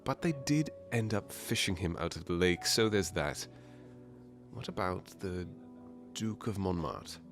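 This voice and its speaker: A man's voice: sits low, expressive, measured pace, faint music behind. A man playing a charming, teasing masked nobleman in a romantic ASMR fairytale roleplay, suave and playful with courtly phrasing. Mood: dry, playful.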